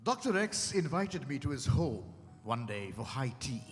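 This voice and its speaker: A man playing a genteel narrator telling his own story in a dramatized reading, measured and savoring each detail. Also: mid-range voice, very animated, natural voice. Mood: measured, theatrical. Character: genteel man recounting a tense visit. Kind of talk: dramatized first-person storytelling. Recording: hall reverb with clear music behind.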